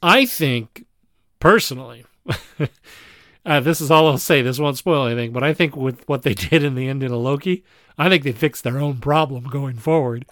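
A man's voice: mid-range voice, moderate pace, a bit bright, no room sound, studio quality. A man co-hosting a podcast, chatting casually about films and repeating phrases as he thinks. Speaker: podcast host. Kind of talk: casual chat, informal film reviewing.

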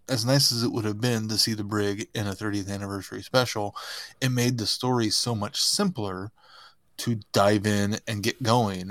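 A man giving measured, explanatory opinions as a podcast panelist in a casual discussion. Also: fairly low pitch, fairly bright tone, no room sound. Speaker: podcast panelist reviewing fan productions.

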